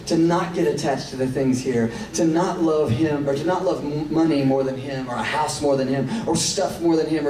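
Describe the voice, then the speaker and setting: A man in his thirties with a Southern Tennessee accent, preaching a casual, joking live sermon that swells into fervor. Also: mid-range voice. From Tennessee, USA. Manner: casual, joking, drawn out, rising to fervent. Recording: echoey hall, distant mic, room noise.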